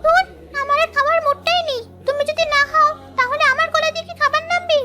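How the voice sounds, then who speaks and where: A woman's voice: very high-pitched, fairly even intonation, fast, over loud music. A woman voicing a pampered, fretful young daughter in a cartoon, exclaiming and questioning. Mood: sulky. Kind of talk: cartoon dialogue.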